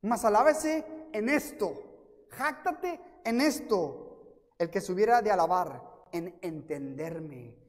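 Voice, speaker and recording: A man from Mexico with a Mexican accent, preaching a sermon as pastor, telling self-mocking stories and acting out quotes in a lively way. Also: sits high, takes it slowly. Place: church. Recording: reverberant church hall.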